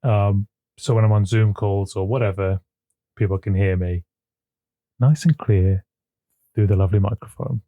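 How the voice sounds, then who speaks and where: A man co-hosting a podcast in casual chat, with a smooth voice. He chuckles often and repeats words as he gets going, speaking into a desk microphone at his home computer. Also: on the low side, fairly even intonation, moderate pace, pauses often, narrow, tinny audio. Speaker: podcast co-host.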